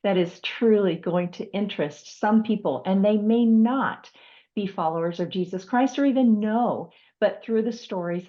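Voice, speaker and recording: A woman hosting a conversational interview, speaking in a polite, measured, appreciative way. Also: mid-range voice, warm tone, dull audio. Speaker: host, author.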